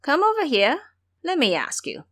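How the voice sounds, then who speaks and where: Mischievous male monkey disciple, played by a woman, high swooping pitch, sharp-tongued, scolding, bossy, audio drama. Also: wildly swooping pitch, moderate pace, full character voice. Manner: taunting, scolding.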